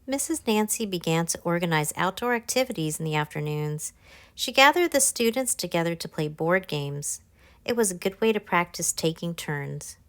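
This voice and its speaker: A woman narrating a children's picture book, reading aloud in simple, clear phrases for young listeners. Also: fairly low pitch, expressive, moderate pace, no room sound. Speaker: author narrating her own children's book. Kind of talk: scripted children's storybook narration.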